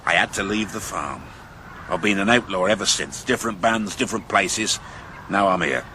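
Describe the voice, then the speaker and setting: An actor playing a weary, plain-spoken outlaw guard, a former farmer who is decent at heart, in a radio drama. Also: male voice, sits low, normal speed, pushed and bright, slight background noise. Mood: weary, reflective.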